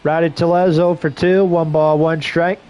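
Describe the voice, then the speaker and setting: A slightly hoarse man gives casual play-by-play into a headset mic, breaking off into relaxed, rambling asides between calls. His voice sits fairly high. A faint hiss runs under the recording. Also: normal speed, muffled recording. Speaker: streamer doing play-by-play.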